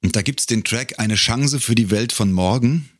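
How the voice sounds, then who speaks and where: A middle-aged man telling stories as an interview guest in a casual, wryly humorous way, often pausing to find his words. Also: mid-range voice, no room sound, studio quality. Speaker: podcast guest, a cappella singer and arranger.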